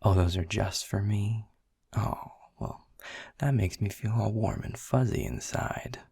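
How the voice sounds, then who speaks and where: A world-weary, grumpy middle manager, played by a man in an audio roleplay, speaking low and unhurried in a breathy half-whisper. His gravelly, sardonic voice teases and curses lightly, dry and close. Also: expressive, no echo, exaggerated voice. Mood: wry, teasing. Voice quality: hushed, breathy. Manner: sardonic, teasing, swears casually. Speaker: boss.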